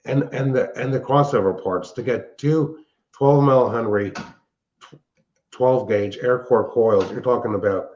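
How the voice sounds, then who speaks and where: A man's voice: mid-range voice, a little slow, a little mellow. An older man chatting casually and technically, explaining things in a rambling way as a show host and hobbyist. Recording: dull, band-limited sound.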